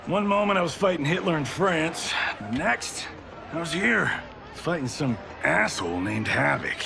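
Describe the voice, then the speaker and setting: An actor playing a cocky, wisecracking American army officer from the Second World War, brash and slangy in a video game. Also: male voice, on the high side, sing-song, unhurried, gravelly, background noise, exaggerated voice. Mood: brash, jaunty. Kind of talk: cinematic video game acting. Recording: game cutscene with music behind.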